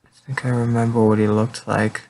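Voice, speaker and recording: A man acts out a troubled, haunted man in an analog horror video. His voice is creaky and flat, and he speaks haltingly, as if his memory is failing. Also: fairly low pitch, a little hiss or hum, lightly acted, poor audio. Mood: uneasy.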